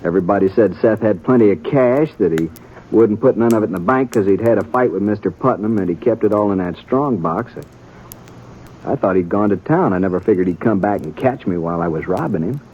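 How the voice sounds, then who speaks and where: An actor playing a defensive, guilt-ridden young American frontier man in a 1950s radio Western, speaking in a rustic, halting, emotional way. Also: lowish voice, lively intonation, slight background noise, close to an everyday voice. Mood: anguished confession. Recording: muffled old radio recording, faint music.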